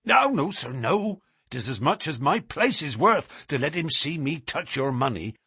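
A man's voice: on the high side, very animated, moderate pace, pushed and bright, husky, no echo, clean studio sound, full character voice. A man voicing a deferential, wary stable groom in an audiobook story. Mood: wary, obliging.

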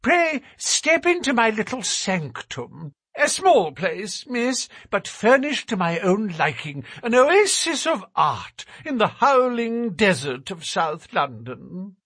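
A man voicing a twitchy, fussy young man with a thin, high voice and an anxious manner. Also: very animated, slow, few pauses, a bit bright, slightly rough, no echo, studio quality, full character voice. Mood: fussy, nervous. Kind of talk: audiobook character reading.

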